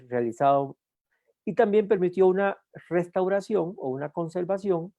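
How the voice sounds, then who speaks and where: A man from Cuba with a Cuban accent, lecturing at a university in an unhurried way, often pausing on "eh" and restarting words. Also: on the high side, mellow, no echo. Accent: Cuban Spanish. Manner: unhurried with frequent "eh" fillers and restarts. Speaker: guest lecturer. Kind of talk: university talk.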